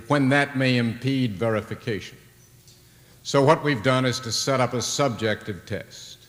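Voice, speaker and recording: A man on a panel giving precise, measured remarks on arms control at a public forum. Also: mid-range voice, a bit bright. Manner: precise, formal and deliberate. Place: large lecture hall. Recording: reverberant hall, 1980s recording.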